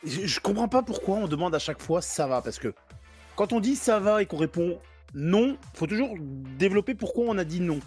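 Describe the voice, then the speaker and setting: A man's voice: sits high, lively intonation, brisk, darkish tone, slightly rough, faint music behind. A man co-hosting a casual podcast chat, laid-back and rambling. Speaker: podcast co-host.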